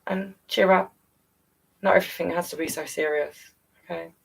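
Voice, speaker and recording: A British woman chats on a live stream in a lowish, creaky voice with plenty of rise and fall. She is affectionate and encouraging, like a storyteller talking to her audience. She sounds a little way back from the microphone. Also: steady tone, normal speed, distant mic, poor audio. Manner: affectionate, encouraging, calls listeners darling and guys. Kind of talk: casual live-stream chat to viewers.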